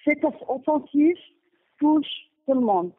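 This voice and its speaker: Slow Palestinian mother on a tinny phone line. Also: sits high, lively intonation. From Gaza, Palestine. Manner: halting, with frequent restarts and pauses. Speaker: mother giving testimony. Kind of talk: phone testimony, partly reading her own text. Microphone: telephone.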